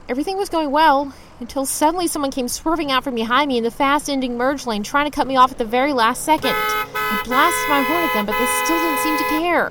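Audio drama lead: woman as fretful young driver, high voice, expressive first-person storytelling, some background noise. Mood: narrating, relieved. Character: anxious, scrappy young driver narrating their own misadventures.

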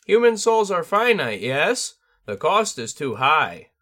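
A man voicing an ancient crow-like tengu sword master with a sharp, squawking, piercing voice and a sing-song lilt that ends his questions with "yes?". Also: fairly high pitch, very animated, slow, voice pushed up, exaggerated voice. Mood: sharp, cryptic.

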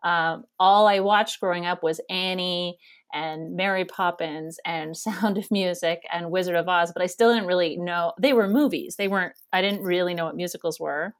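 Canadian woman, loud and nasal, lowish voice, chatty, rambles and laughs a lot. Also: normal speed. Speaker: guest, theater education director. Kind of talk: casual interview conversation.

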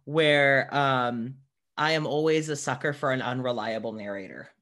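Informal male podcast host with halting phrasing. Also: mid-range voice, bright, forceful tone. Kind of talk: casual podcast chat.

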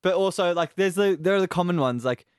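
A man's voice: sits high, quick pace, smooth, dry recording, studio quality. A man co-hosting a podcast in casual chat, laughing often and talking to listeners at home. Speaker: podcast co-host.

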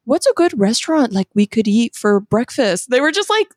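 A woman's voice: on the high side, very animated, moderate pace, no echo, clean studio sound. A woman co-hosting a casual food-tasting chat, telling stories and laughing often. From US Bay Area. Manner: chatty storyteller, laughs often. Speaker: co-host tasting candy.

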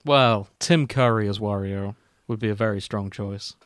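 A man's voice: lowish voice, moderate pace, clear, resonant tone, no room sound. A man hosting a podcast in casual comedic chat, joking and swearing freely. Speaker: podcast host.

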